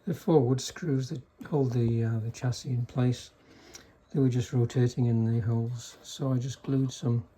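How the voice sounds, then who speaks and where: A man giving a casual, unscripted technical demonstration in a halting, low-key way, like a restorer explaining equipment. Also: steady tone, mellow, slightly rough. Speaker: vintage radio restorer demonstrating a set.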